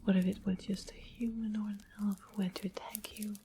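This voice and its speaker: A woman voicing a sweetly sly royal witch in a soft breathy whisper for an ASMR fantasy roleplay. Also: medium pitch, flat delivery, brisk, natural voice. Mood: musing, coaxing. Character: sweetly sly royal witch trading rare magical items. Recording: clearly noisy close whispered recording.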